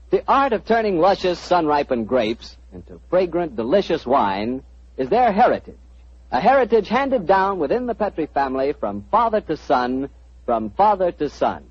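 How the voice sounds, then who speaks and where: A man's voice: on the high side, breathy edge. A man, announcing and reading ads on a 1940s radio show in a breezy, salesy manner. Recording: dull old radio broadcast with faint music.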